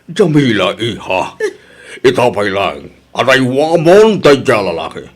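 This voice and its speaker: A man's voice: mid-range voice, very animated, measured pace. A man acting in an Ilocano audio drama as a stern, middle-aged father who scolds and lectures his daughter emphatically. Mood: stern, scolding.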